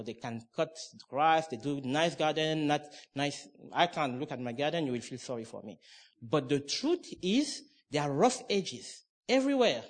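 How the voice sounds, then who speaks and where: A middle-aged man from Cameroon preaching a sermon, emphatic and conversational, with restarts and asides. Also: on the high side.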